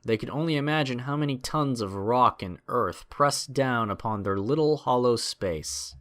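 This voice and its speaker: A man's voice: medium pitch, fairly bright tone. Male narrator reading a dramatic story.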